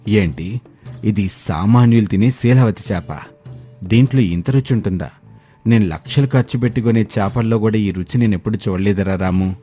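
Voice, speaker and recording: A man's voice: on the low side, normal speed, warm tone, husky, faint music behind. A man playing a rich older uncle in a moral story, with an effusive, emphatic manner. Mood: astonished. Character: proud rich older uncle, humbled and hearty.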